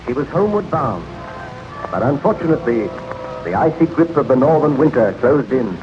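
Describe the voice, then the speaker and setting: Animated male radio narrator over music. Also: medium pitch. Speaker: announcer and narrator. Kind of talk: 1930s radio scripted narration. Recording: muffled 1930s radio recording.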